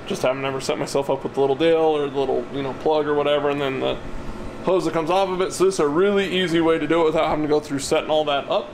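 A man in his thirties giving casual hands-on build commentary, thinking aloud informally as he works in a garage workshop. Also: fairly high pitch. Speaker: car builder and vlog host. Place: metal-walled garage workshop, indoors. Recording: loud steady shop ventilation noise.

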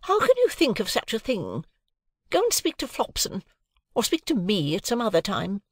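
A woman's voice: mid-range voice, wildly swooping pitch, moderate pace, no room sound, clean studio sound, voice pushed up. A woman voicing a haughty, easily affronted married lady in an audiobook, imperious and indignant. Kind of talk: audiobook character acting.

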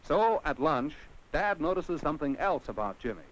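Animated American man narrating a vintage educational film. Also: fairly high pitch, moderate pace. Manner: addresses the child on screen directly with rhetorical questions. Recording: dull vintage 1950 film soundtrack.

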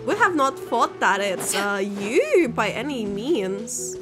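A woman's voice: fairly high pitch, very animated, slow pace. A woman streamer giving casual, exclamatory and emotive reaction commentary while playing a game. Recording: game music behind the voice.

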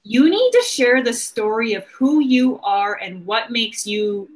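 High-voiced woman in casual interview chat. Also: lively intonation, measured pace. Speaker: host of a marketing livestream. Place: small home office indoors.

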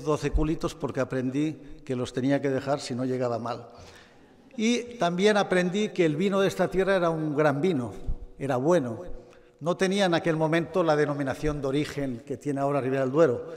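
An older man from Catalonia giving a formal award acceptance speech in a measured manner with touches of humor. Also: mid-range voice, a little mellow. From Catalonia, Spain. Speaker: award recipient. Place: large gala hall. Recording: long reverb of a hall.

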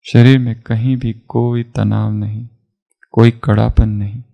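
A man guiding a relaxation meditation as an instructor, giving calm, measured instructions with long pauses. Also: mid-range voice, gravelly, muffled recording. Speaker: yoga nidra meditation instructor.

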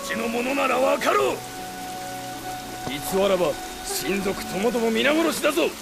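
An actor playing a stern, menacing samurai officer in a dramatic video game. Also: male voice, very high-pitched, big pitch swings, moderate pace, gravelly, exaggerated voice. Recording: loud music behind the voice.

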